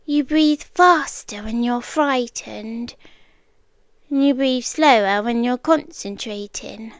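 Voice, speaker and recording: A British woman playing an obsessive, possessive young girlfriend in an intimate ASMR roleplay, soft and deliberate, sweetly menacing, slipping into whispers. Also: fairly high pitch, smooth, no echo. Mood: possessive, calm. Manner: slow, deliberate, coaxing, with pauses and whispered words. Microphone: close, dry microphone.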